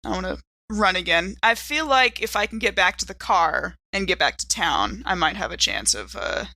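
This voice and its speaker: Lively, bright-voiced woman playing a tabletop game. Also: fairly low pitch, moderate pace, dry recording. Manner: expressive, wry. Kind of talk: casual, joking game table chat.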